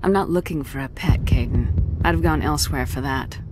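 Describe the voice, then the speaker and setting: A woman's voice: rumbling low, fairly quick. An actress voicing a resolute female starship commander in a video game, speaking in a firm, level, direct way. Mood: intimate. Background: game music.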